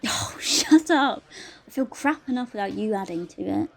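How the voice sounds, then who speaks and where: A woman's voice: mid-range voice, lively intonation, moderate pace, gravelly. A woman playing a frank, self-deprecating police officer with earthy humour in a naturalistic audio drama, blunt and informal. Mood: mock-offended. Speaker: actor in an audio play.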